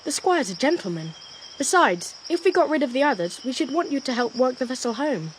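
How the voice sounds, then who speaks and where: A woman's voice: sits high, very animated, a little fast, slight background noise, rough recording. A woman playing an earnest, polite young cabin boy in an audio drama. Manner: polite, earnest, well-spoken.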